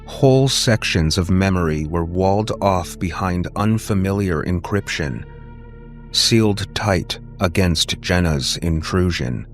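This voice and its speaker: A man reading a scripted story as a dramatic audiobook narrator, deliberate and suspenseful. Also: low-pitched, expressive, faint music behind.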